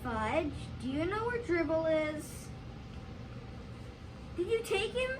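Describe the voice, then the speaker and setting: A woman's voice: very high voice, sing-song, takes it slowly, exaggerated voice. A young female reader voicing an upset, possessive fourth-grade boy in an emphatic readers theater style. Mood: frantic, pleading. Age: child reader, fourth grade. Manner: emphatic, pleading. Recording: distant microphone, clear background noise.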